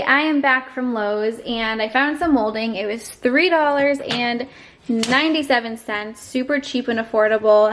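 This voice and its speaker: A woman in her twenties with a cold-roughened voice, chatting casually through a home kitchen makeover vlog. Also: a little high, a little hiss or hum. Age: 20s. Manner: chatty, self-deprecating, many ums. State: hoarse from a cold. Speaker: home DIY vlogger.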